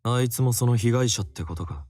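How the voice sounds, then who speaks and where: An actor playing a blunt, aloof young man with a curt deadpan delivery in a visual novel. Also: mid-range voice, very fast, faint music behind, natural voice. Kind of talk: Japanese visual novel acting.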